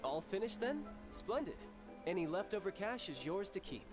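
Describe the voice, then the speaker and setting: A man voicing a smug, breezy young agent in a video game, playful and smooth-talking. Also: sits high, very animated, normal speed. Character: Childe, smug, breezy young male agent, slyly charming. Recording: game music behind the voice.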